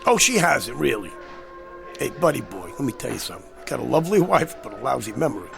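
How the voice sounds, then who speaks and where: A man's voice: mid-range voice, big pitch swings, fast talker, creaky. A man playing a brash, argumentative hard drinker in a radio drama, with a pushy, sarcastic way of talking. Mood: agitated. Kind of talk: dramatized radio-play dialogue. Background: faint music.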